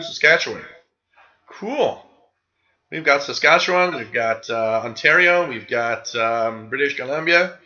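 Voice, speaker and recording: A man's voice: medium pitch, pushed and bright, muffled recording. A man with a Quebec French accent hosting a live radio hobby show, chatting casually and reading out viewers' comments. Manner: casual, rambling, reads chat names aloud.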